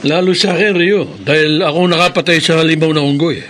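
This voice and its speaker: A man's voice: on the high side, steady tone, fast, a bit bright, natural voice. An actor playing a worried young man, a monster slayer, in a radio drama. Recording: noisy background.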